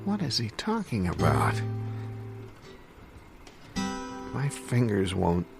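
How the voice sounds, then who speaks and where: A man playing a dreamy, discouraged amateur guitar student, musing haltingly in an improvised comic monologue. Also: fairly low pitch, huge pitch swings, vocal fry, heavily acted. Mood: frustrated. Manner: slow, halting, wistful musing.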